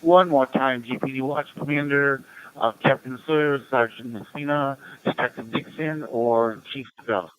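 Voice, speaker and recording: Man running a radio net, smooth voice. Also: mid-range voice, fairly even intonation, normal speed, poor audio. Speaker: radio net control operator. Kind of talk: informal announcements and roll call on a community radio net.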